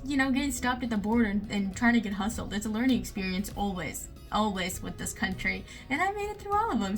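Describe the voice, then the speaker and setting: Young woman, casual how-to vlogger, rapid and filler-heavy, mid pitch. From United States. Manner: chatty, lots of fillers like "you know" and "um".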